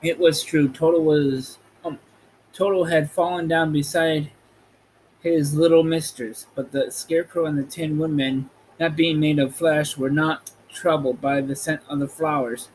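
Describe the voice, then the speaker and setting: A man reading a children's storybook aloud as a podcast host, in an amateur, slightly halting way. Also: fairly high pitch, fairly even intonation, darkish tone, slight background noise, low-quality recording.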